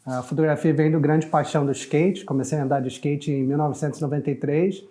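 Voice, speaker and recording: A man's voice: fairly high pitch, little pitch movement, fast talker, dark tone. A middle-aged man from Canada, a native English speaker, chatting casually as a guest in an interview. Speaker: photographer guest.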